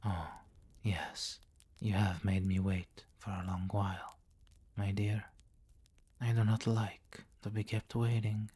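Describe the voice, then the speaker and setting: A man voicing a possessive, seductive vampire king in an intimate ASMR roleplay, speaking formally and deliberately and often dropping into a whisper. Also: fairly low pitch, mellow, breathy edge, no echo, full character voice. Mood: stern, seductive. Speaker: audio roleplay performer.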